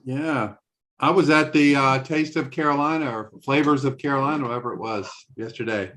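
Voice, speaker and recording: A man's voice: medium pitch, fairly even intonation, fairly quick, fairly bright tone. A man hosting a casual group video call, joking around and rambling with lots of fillers. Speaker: host of a live video call.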